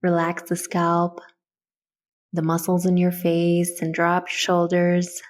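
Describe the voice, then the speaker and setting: Woman doing guided meditation, kinda low voice, gentle and soothing, walks you thru it. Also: monotone, takes it slowly.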